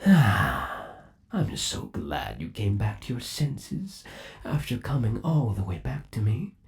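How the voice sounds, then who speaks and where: A possessive animatronic rabbit boyfriend, acted by a man, for an ASMR roleplay. He coos with sinister devotion in a gravelly voice, drawing his words out slowly. His pitch swoops up and down theatrically as he dotes. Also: huge pitch swings, a little mellow, exaggerated voice. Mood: adoring, obsessive. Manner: slow, doting, theatrical cooing.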